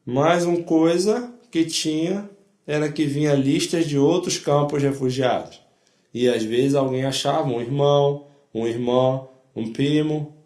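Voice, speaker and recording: An older man tells his life story as personal testimony. His voice sits fairly high, and he speaks in short, plain sentences, often repeating himself. The room gives the recording an audible echo. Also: a little slow, darkish tone. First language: not Portuguese. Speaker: Holocaust survivor giving testimony. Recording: echoey room.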